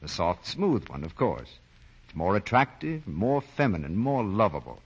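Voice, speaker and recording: Male 1940s radio pitchman, persuasive, lively intonation, muffled broadcast sound. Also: moderate pace, gravelly, breathy edge. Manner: polished, persuasive. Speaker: radio announcer. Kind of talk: 1940s radio commercial announcing.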